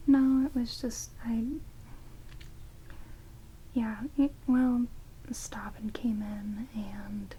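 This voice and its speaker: A woman playing a sardonic, playful vampire caretaker in a soft, breathy ASMR roleplay whisper. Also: a little high, rough recording. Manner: teasing, dry, casually chatty. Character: sardonic immortal female vampire, rude yet playful caretaker. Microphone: close binaural microphones. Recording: steady rain noise on binaural recording. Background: heavy rain.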